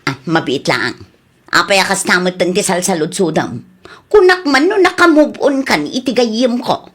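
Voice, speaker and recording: A woman playing a cheeky, teasing young best friend in an Ilocano Filipino radio comedy, with a husky voice. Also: mid-range voice, very animated, normal speed. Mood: cheeky, needling. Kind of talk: comedy radio drama acting.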